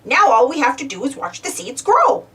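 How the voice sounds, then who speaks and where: Storybook part: lazy, boastful male duck farmer read by a woman, grumbling, high voice, swingy intonation. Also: big pitch swings, normal speed, a bit bright, distant mic.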